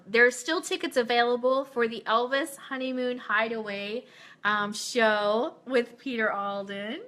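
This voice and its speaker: A woman's voice: on the high side, bright, forceful tone. A woman hosting a casual fan radio show, talking in an enthusiastic, informal way as she plugs things.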